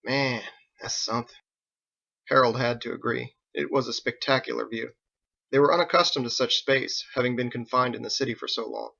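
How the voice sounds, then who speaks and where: A man's voice: mid-range voice, brisk, creaky, sharp tone, lo-fi sound. A man reading scripted audiobook narration as the story's narrator. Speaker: author narrating his own story.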